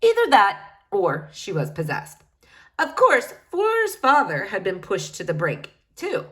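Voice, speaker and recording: A woman's voice: mid-range voice, huge pitch swings, unhurried, a bit bright. A woman reading a children's novel aloud, shifting her voice for each character as she goes. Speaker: reader.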